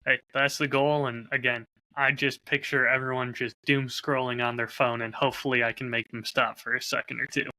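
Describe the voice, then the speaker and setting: A man's voice: mid-range voice, little pitch movement, fairly quick, few pauses, sharp tone, low-quality recording. A man in casual podcast conversation, talking as a host in an upbeat, enthusiastic way about his own work. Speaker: podcast host and audio drama creator.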